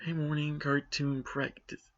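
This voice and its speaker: A man's voice: a little high, little pitch movement, measured pace, lo-fi sound. A man giving opinionated commentary on a written post, critical and matter-of-fact with sarcastic asides.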